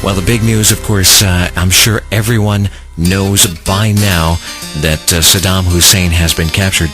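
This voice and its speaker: A man's voice: sits low, steady tone, measured pace. A man hosting a country music radio show, chatting, announcing and interviewing as a DJ.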